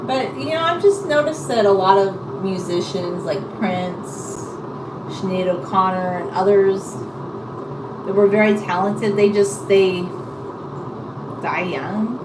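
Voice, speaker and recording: A woman's voice: medium pitch, slow. Woman chatting casually in a noisy, echoey room. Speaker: live-streamer chatting with viewers. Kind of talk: casual rambling chat. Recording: echoey room, distant mic, loud background noise.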